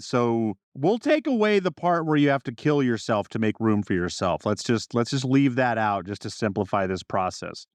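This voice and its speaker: A man co-hosting a podcast, riffing in casual, animated conversation. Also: mid-range voice, no echo. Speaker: podcast co-host.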